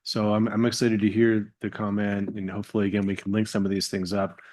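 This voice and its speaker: A man's voice: on the low side, completely flat delivery, fairly quick, darkish tone. A man chairing a council meeting in a hall, informal and accommodating, with stammered starts.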